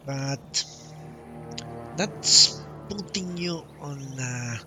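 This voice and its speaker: A man's voice: fairly high pitch, expressive, very slow. A man live streaming a game, casually thinking aloud and muttering to himself as he reads menus. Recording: clear game music behind voice. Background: video game music.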